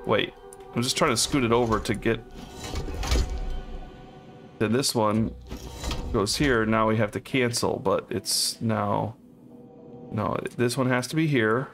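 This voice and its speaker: A man's voice: lowish voice, slow, long pauses, over music. A man giving casual gameplay commentary, thinking aloud in short phrases. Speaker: gamer, co-op let's player.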